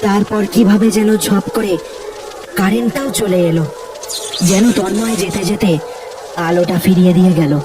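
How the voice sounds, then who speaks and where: A man's voice: high-pitched, fairly even intonation, normal speed, long pauses, far from the mic, background noise. A man narrates a scripted ghost story in a dramatic storytelling style, with music behind him.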